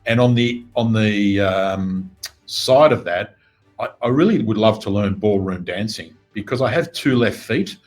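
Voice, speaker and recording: Middle-aged man, lowish voice, earnest business coach, faint music underneath. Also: a little slow. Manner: steady, earnest, reflective. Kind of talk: interview answers.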